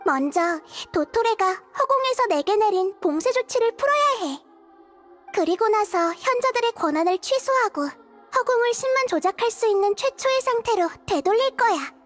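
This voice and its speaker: Game role: a wise, gentle childlike goddess, tiny very high voice, reflective and earnest, music behind. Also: female voice. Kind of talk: video game character dialogue.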